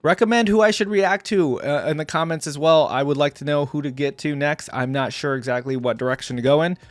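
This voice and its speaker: A man's voice: on the high side, a little fast, no echo. Man, casual commentary in a dry space. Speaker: reaction video host. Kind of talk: casual fan commentary on game voice lines.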